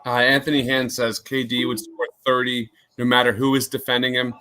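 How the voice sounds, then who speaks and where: A man's voice: medium pitch, flat delivery, fairly quick, sharp tone, smooth. A young man co-hosting a live sports podcast, reading viewer comments aloud and cheering on his team. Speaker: podcast co-host. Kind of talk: live game reaction chat.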